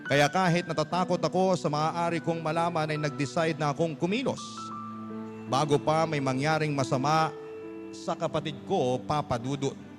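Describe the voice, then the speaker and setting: A man's voice: on the high side, steady tone, normal speed. A man reading a personal letter as a radio storyteller, in dramatic scripted narration. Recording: clear music bed behind voice. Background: background music.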